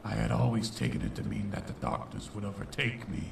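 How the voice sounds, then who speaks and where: A man voicing a brooding, vengeful shadow pony villain in a comic dub, with a gravelly voice and a theatrical delivery. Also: lowish voice, level tone, fairly quick. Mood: grim, dramatic. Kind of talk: dramatic comic dub narration and dialogue. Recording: roomy, distant, faintly noisy home recording.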